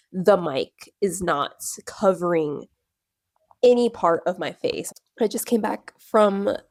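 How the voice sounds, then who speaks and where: A woman's voice: medium pitch, a little mellow, no room sound, clean studio sound. A young woman with a stuffy nose from a cold, rambling casually in a reading vlog, full of "like" and fillers. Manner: rambling, filler-heavy, lots of "like". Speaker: book vlogger. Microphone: clip-on or small personal microphone.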